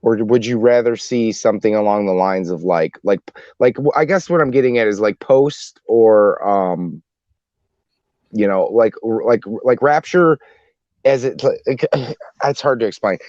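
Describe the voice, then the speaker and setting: A man on a podcast panel sharing opinions casually in a halting, rambling way, often rephrasing himself. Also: fairly low pitch, a little mellow, no echo. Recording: dull lo-fi dry voice track.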